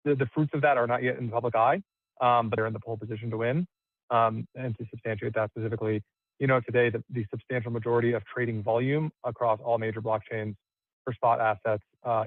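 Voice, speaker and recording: A man's voice: mid-range voice, little pitch movement, a little fast, no echo, phone-quality sound. A man speaking as a company executive, giving unscripted answers on an earnings call. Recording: band-limited conference call audio, dry.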